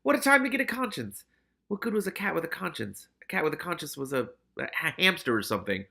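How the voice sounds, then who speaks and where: A man's voice: a little high, sing-song, fast, gravelly, sharp tone, pitched above the performer's own voice, heavily acted. A man voicing a shifty, evasive cat who hedges uneasily and sounds guilt-ridden in a storybook reading. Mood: squirming guilt. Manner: stammering, hedging when cornered.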